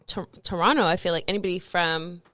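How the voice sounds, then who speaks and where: A woman co-hosting a radio talk show in a studio, playful and quick to laugh. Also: fairly low pitch, fairly even intonation, sharp tone, no echo. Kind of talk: casual chat.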